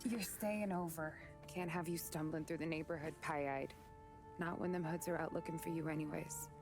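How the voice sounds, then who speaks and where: A woman's voice: sits low, steady tone, moderate pace, over music. An actress playing a tough but tender young working-class city woman, wry and frank, in a cinematic period game. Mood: coy, firm.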